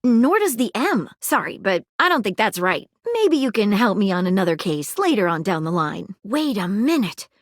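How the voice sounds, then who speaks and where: A woman's voice: medium pitch, very animated, moderate pace, hardly any pauses, no room sound, clean studio sound. A woman playing a curious, precise female detective in a video game, articulate and inquisitive. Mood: pondering, analytical, then excited, triumphant. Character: living magnifying glass detective woman, keen, curious, precise, flirtable. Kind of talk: video game character acting.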